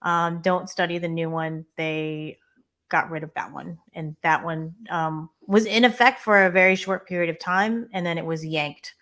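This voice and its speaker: A woman co-hosting a live immigration Q&A stream, chatting and giving quick practical tips. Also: on the low side, steady tone, a bit bright. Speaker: immigration lawyer co-host.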